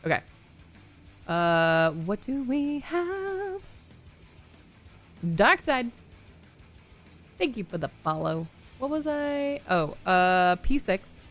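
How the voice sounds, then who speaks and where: A woman's voice: on the high side, very animated, drawn-out words, long pauses, a bit bright, faint music behind. A woman in her thirties streaming a game live, chatting casually with her viewers and laughing often. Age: 30s. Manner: chatty and upbeat, laughs often, lots of "like" and "you know".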